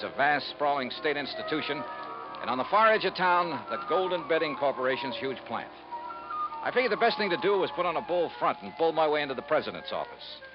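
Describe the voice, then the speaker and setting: An actor with an American accent playing a shrewd investigator in a 1950s radio detective drama. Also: male voice, a little high, lightly acted. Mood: brisk, wry. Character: shrewd male investigator bluffing as a businessman. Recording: muffled, hissy 1950s radio recording.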